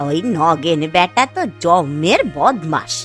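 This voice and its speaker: A woman acts out an old village granny for a Bengali cartoon tale. The voice sits low and moves slowly, drawing words out with motherly care. Faint music plays underneath. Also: expressive, fairly bright tone. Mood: indignant. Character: kindly old village granny, consoling and indignant. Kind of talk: Bengali cartoon story acting.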